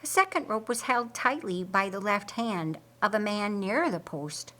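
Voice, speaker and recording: A woman reading an audiobook story in a deliberate, theatrical way. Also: medium pitch, animated, bright, forceful tone. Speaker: audiobook narrator. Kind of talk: dramatic scripted storytelling narration.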